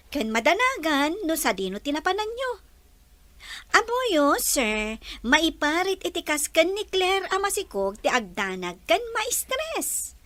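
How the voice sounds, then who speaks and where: Woman doing a polite young maid, high voice, lots of lift, dry close sound. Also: pushed and bright, dry recording, exaggerated voice. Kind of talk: Ilocano audio drama acting.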